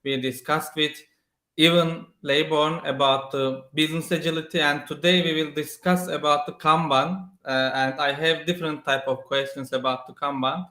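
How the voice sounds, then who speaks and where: A man's voice: on the high side, little pitch movement, moderate pace, sharp tone. A man hosting an interview in English, recorded with a roomy echo. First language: Turkish. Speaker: interview host. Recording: roomy, echoey sound.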